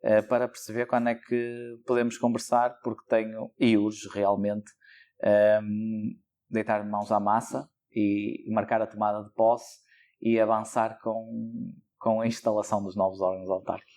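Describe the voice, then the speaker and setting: A man's voice: on the low side, level tone, normal speed, darkish tone. Man, young adult, European Portuguese accent, local candidate, interview answers, hesitant fillers. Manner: thoughtful, hesitant with frequent fillers.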